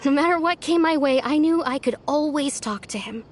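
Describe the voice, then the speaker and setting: A woman voicing a gentle wistful village girl. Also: high-pitched, a little fast, lightly acted. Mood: earnest. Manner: soft, wistful and earnest. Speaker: game villager character. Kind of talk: video game voice acting. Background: faint game music.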